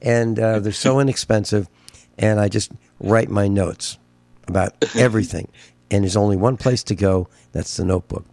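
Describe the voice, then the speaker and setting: A man hosts a talk radio show in an easygoing, chatty way, chuckling and doubling his words. His voice is low and gravelly. The sound is dry and close. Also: normal speed, a little mellow, no room sound.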